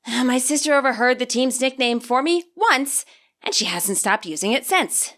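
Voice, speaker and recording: Brief: woman reading as a grumbling, defensive teen boy, slow and sour, bright tone. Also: high voice, animated. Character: touchy fourteen-year-old boy, Ortega.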